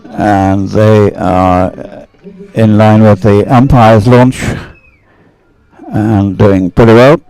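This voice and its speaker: A man's voice: fairly low pitch, steady tone, slow, warm tone. A man giving unscripted live sports commentary in a hesitant, rambling, conversational way. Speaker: race commentator. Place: outdoors beside the water at a regatta.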